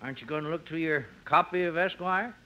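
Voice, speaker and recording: An actor playing a weary, footsore mailman in a 1950s radio comedy, with a creaky voice. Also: fairly high pitch, pushed and bright, highs cut off. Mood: folksy, dry. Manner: slow, drawn-out delivery. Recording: noisy vintage radio, studio audience.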